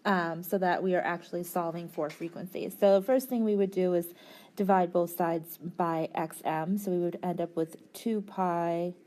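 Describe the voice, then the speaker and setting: A woman's voice: fairly low pitch, fairly even intonation, normal speed, a little mellow. A woman tutoring physics, explaining a worked problem step by step in a methodical, informal way.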